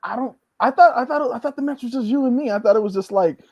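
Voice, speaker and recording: A man's voice: very high-pitched, fast talker, a little mellow, dry recording. A man appearing as a show guest, chatting casually and telling stories in a jokey, informal way. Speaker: show guest, comedian and wrestler. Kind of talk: casual chat.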